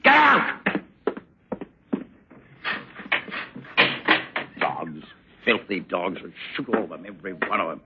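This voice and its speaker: An actor playing a middle-aged, bullying colonial colonel with a rigid, peremptory military manner in a drama. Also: male voice, mid-range voice, very animated, moderate pace, close to an everyday voice. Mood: contemptuous, angry. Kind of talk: dramatic acting. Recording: dull lo-fi sound with faint noise.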